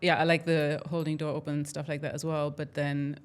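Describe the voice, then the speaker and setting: Woman with a low voice, takes her time, lots of uhs and ums. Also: flat delivery. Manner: measured, hesitant, with fillers. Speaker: biologist in a discussion. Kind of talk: casual discussion.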